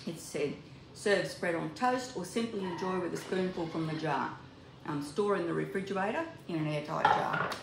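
Low, gravelly older woman in a kitchen. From Australia. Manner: chatty, talks to viewers while working. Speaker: cooking video host. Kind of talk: home cooking demonstration. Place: home kitchen indoors. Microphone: camera mic at a distance. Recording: echoey kitchen with appliance noise.

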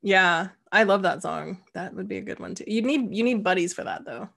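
A woman's voice: medium pitch, fairly quick, creaky. Joking woman host in her forties. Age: early 40s. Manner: relaxed, joking, laughs along. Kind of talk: casual interview chat. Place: home room, indoors. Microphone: video call.

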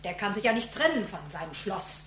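A fretful, long-serving office secretary from a radio drama. She sounds agitated, with an average pitch, in a roomy recording with a faint hum. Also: big pitch swings, fairly quick, a bit bright. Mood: alarmed. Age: middle-aged. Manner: agitated, fretting. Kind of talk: German radio play acting.

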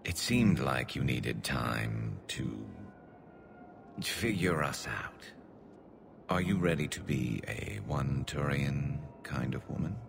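A man voicing a wry, cocky yet tender alien soldier in a video game, with a gravelly, faintly metallic resonance and a dry, teasing delivery. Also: very deep voice, lively intonation, slow, background noise, heavily acted. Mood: tentative, tender. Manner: dry, teasing, laid-back.

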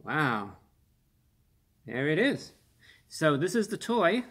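Measured young man reviewing food. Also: on the high side, very animated, a bit bright, breathy edge. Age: 20s. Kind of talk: casual product review to camera. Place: small indoor room.

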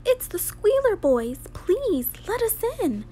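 A woman's voice: extremely high pitch, very animated, slow pace, warm tone, voice pushed up, full character voice, poor audio. A young woman voicing frightened little pigs in a storybook, urgent and squealing. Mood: pleading. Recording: home recording with clear background noise.

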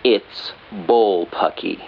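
A man's voice: low voice, very slow, creaky, slight background noise, exaggerated voice. A man playing a grumpy, muddled skeptic phoning a radio show with folksy bluster. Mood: indignant. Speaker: radio caller. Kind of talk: radio drama phone-in acting. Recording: dull phone-in line.

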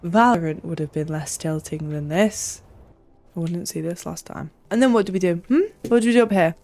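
A woman's voice: fairly low pitch, a little fast, mellow. Young woman streamer muttering through a game. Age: young adult. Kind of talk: casual gameplay commentary, reacting aloud. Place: small home room.

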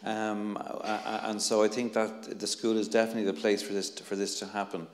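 A man's voice: lowish voice, completely flat delivery, fairly quick, a little hiss or hum. A middle-aged Irish man on a conference panel, earnestly talking on a handheld mic with many restarts and fillers. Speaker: panelist, anti-bullying researcher. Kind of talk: panel discussion. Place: conference hall, seated on stage. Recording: echoey hall with long reverb.

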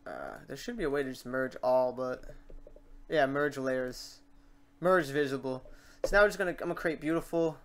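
A man hosting an off-the-cuff software tutorial, informal and rambling, muttering to himself as he works. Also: mid-range voice, lively intonation, moderate pace, slight background noise. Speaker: tutorial host.

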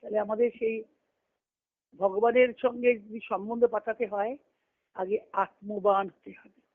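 A woman's voice: medium pitch, flat delivery, unhurried, dry recording. Muffled phone-line woman giving spiritual discourse. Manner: didactic, explaining terms phrase by phrase with repetition. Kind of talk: devotional scripture discourse. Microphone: conference call line. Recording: muffled lo-fi conference-call phone audio.

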